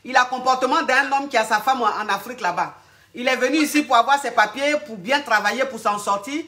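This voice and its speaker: High, bright-voiced woman coach. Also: fast talker. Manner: blunt, motherly, calls listeners "my darling". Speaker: relationship coach answering callers. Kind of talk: live call-in advice.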